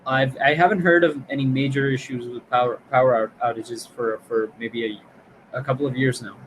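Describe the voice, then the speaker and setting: A man's voice: medium pitch, fairly even intonation, fairly quick, a little hiss or hum, poor audio. A man with a Pakistani accent, an online English tutor chatting casually on a video call, often restarting and repeating words.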